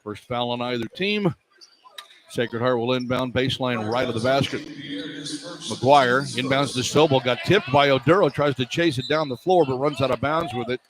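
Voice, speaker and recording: A man's voice: mid-range voice, moderate pace, low-quality recording. A man doing live radio play-by-play of basketball, describing the action in clipped running phrases. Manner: clipped running description, excited on key plays. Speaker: play-by-play sports announcer. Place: courtside in an indoor arena. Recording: clear arena noise behind voice. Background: arena ambience.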